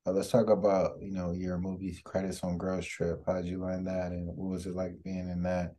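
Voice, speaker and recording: A man's voice: low-pitched, completely flat delivery, moderate pace, dark tone, slight background noise. A man hosting a casual interview, laid-back and affirming with slang. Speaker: interview host.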